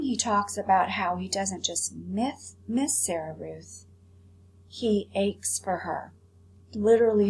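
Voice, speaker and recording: A woman's voice: a little high, a little mellow, low-quality recording. A woman teacher reading a storybook aloud and commenting on it for pupils. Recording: clear background hum and noise.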